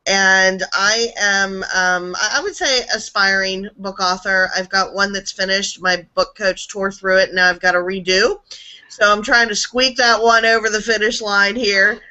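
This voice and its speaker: A hoarse woman speaking as a guest on a video call, upbeat and punchy, like a practiced sales pitch. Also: steady tone, normal speed, bright, forceful tone. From South Florida, US. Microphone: video call, not on camera. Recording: lo-fi online call audio.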